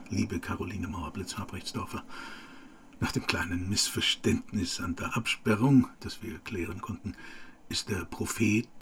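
An actor playing a pompous, gushing live reporter in a radio play, giving parodic event commentary. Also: male voice, fairly low pitch, breathy edge, exaggerated voice.

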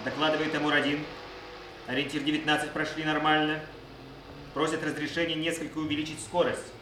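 An actor playing a dutiful military radio operator, reporting formally and crisply in a radio play. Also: male voice, fairly high pitch, little pitch movement, fairly quick, natural voice. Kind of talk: Soviet radio play acting. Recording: noisy, echoey, distant radio-report sound.

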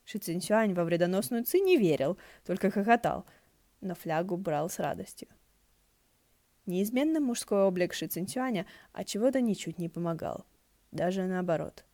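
A woman's voice: on the low side, animated, normal speed, warm tone, no echo. A woman narrating fiction from a script as an audiobook reader, in a wry storytelling style.

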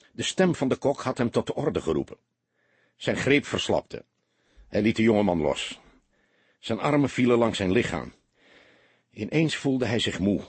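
Husky, expressive male audiobook narrator. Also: lowish voice, no echo. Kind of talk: dramatic scripted narration of a detective novel.

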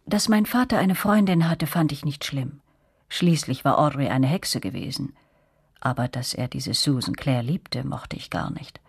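A woman playing a young narrator in a radio play, recalling events in a measured, reflective way. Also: extremely low pitch, gravelly, breathy edge, no room sound, studio quality, heavily acted.

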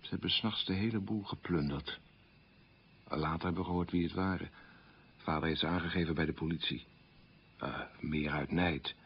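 A man's voice: sits low, steady tone, normal speed, pauses often, a little hiss or hum. An actor playing a troubled middle-aged man who candidly recalls his wartime boyhood in a plain, anecdotal radio play monologue. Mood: candid, self-reproaching. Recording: muffled old radio recording.

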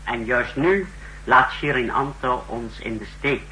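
An actor in a 1960s Dutch radio drama playing a self-assured, menacing secret service chief who speaks emphatically and formally. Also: male voice, medium pitch, pushed and bright, rough recording. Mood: emphatic, grim. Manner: emphatic, deliberate, formal briefing delivery. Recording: old 1960s radio recording, faint hum.

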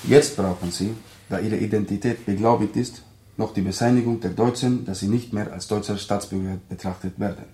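A man acting a brisk, dryly ironic consular official, formal and instructing, in a German radio drama. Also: fairly low pitch, steady tone, warm tone, echoey room, close to an everyday voice. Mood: officious.

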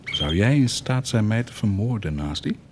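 Fervent low-voiced nobleman zealot, played by a man. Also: animated, normal speed, slight background noise, natural voice. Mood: intense resolve. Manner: declamatory, impassioned, swinging to hushed intensity. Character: fervent, proud nobleman zealot bent on doing good. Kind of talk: theatrical radio drama acting.

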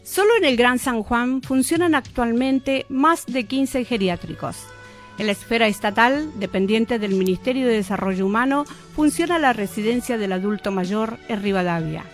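A woman's voice: on the high side, moderate pace, soft background music. A woman with an Argentine accent reading scripted documentary narration in a formal, solemn manner.

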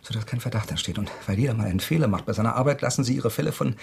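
An actor playing a cornered intellectual writer in a German radio crime drama, reasoning tensely with a killer in a breathy, gravelly voice that often becomes hushed. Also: male voice, mid-range voice, racing.

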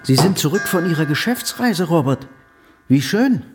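A man playing a dry, genteel gentleman with a formal, wry manner in a German radio drama. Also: fairly high pitch, lively intonation, moderate pace, loud music behind the voice. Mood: dry, resigned.

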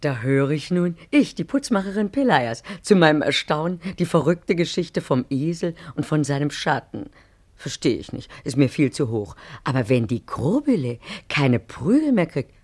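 A woman's voice: low-pitched, darkish tone, full character voice. An actress in a German radio play, playing a naive, chatty milliner who wavers as she speaks. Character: naive, hesitant, gossipy milliner woman being courted. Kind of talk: German radio play acting, dialogue with asides.

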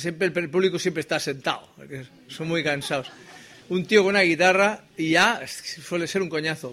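Joking high-voiced singer-songwriter man. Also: fairly bright tone. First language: Spanish. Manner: irreverent, joking, swears freely. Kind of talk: live concert with stage banter. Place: live venue with audience. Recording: live stage sound with guitar. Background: acoustic guitar.

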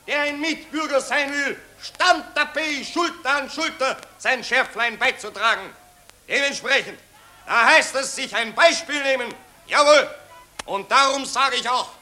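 A blustering Viennese patriot orating on the street, high and bright, slow and windy. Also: male voice, heavily acted. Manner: pompous, garbled speechifying. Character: bombastic Viennese patriotic speechmaker, middle-aged man. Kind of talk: radio play acting.

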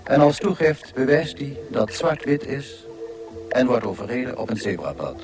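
An actor in a radio drama playing a dry, learned guidebook narrator, delivering scripted expository narration. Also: male voice, medium pitch, big pitch swings, moderate pace, over music, close to an everyday voice.

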